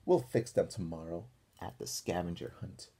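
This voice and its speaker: A man voicing a clever young animal boy in a storybook, measured and conspiratorial as he schemes. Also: deep voice, creaky, dark tone, breathy edge, voice pushed down, heavily acted. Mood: confident.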